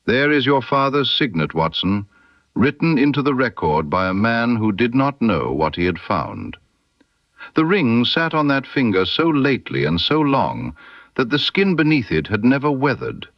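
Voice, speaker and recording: A man voicing an incisive, brooding Victorian detective in an audiobook, deliberate and pointed in his speech. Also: mid-range voice, sing-song, a bit bright, no echo, exaggerated voice.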